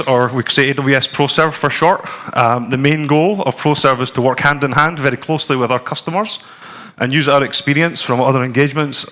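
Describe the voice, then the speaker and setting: A Scottish man in his forties presents at a tech conference, talking the room through slides and a live demo. His delivery is relaxed and conversational, with plenty of ums and restarts. He speaks at a middle pitch in a plain, easygoing way. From Scotland. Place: conference room with a screen and audience.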